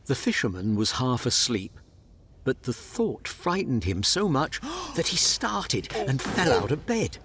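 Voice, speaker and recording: A man's voice: mid-range voice, sing-song, measured pace, fairly bright tone, a little hiss or hum. A man narrating a fairy tale as a dramatic, scripted storyteller.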